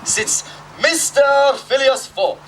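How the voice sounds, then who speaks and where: A man's voice: extremely high pitch, expressive, slow, a bit bright. A young man narrating on an outdoor stage in a theatrical, self-important way. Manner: theatrical, pompous storyteller, easily exasperated. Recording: distant mic, open-air theatre, noisy.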